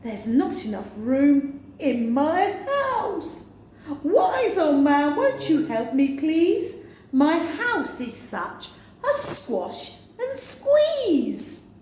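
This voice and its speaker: A woman's voice: sits high, huge pitch swings, extremely slow, a little mellow, voice pushed up, full character voice. A woman voicing a flustered, grumbling little old woman from a storybook, wailing and pleading. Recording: very echoey room, faint noise.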